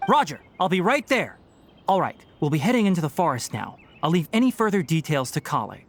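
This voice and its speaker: A man voicing a calm, caring young forest ranger mentor in a video game, youthful and reassuring. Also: fairly high pitch, a little fast, a little hiss or hum. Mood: brisk. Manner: composed, reassuring, instructive.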